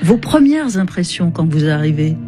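A woman hosting a radio interview, articulate and measured as she sums up and puts questions to her guest. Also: fairly low pitch, sing-song, dark tone, faint music behind. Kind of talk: broadcast radio interview, reading excerpts aloud.

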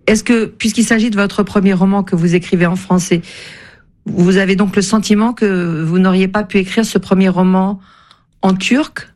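A woman radio host who asks her author guest measured, articulate questions. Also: medium pitch, fairly even intonation, darkish tone.

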